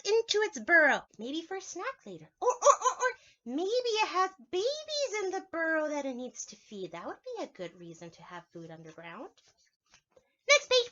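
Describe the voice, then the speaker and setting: Very high-voiced woman reading to preschoolers. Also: dull audio. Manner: expressive, drawn-out storytelling, addressing children directly. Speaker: preschool teacher. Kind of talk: picture-book read-aloud for young children, with asides and questions.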